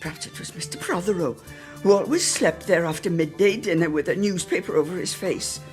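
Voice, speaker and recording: A woman's voice: lowish voice, fairly quick, a little mellow, faint music behind. A woman giving a theatrical, lyrical reading of a literary story, savouring each phrase. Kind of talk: theatrical scripted story narration.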